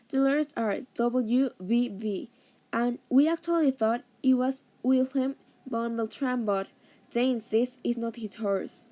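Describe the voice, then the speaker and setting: A woman's voice: on the high side, mellow, natural voice. A woman playing a respectful servant reporting news to the master in an amateur radio drama.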